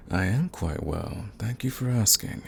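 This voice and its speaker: A man playing a suave, sadistic vampire lord in an ASMR roleplay, courtly and menacing, with a gravelly, husky voice. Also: fairly low pitch, slight background noise, heavily acted. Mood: courteous, menacing. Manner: courtly, purring, slow and menacing. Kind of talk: ASMR roleplay, scripted monologue to the listener.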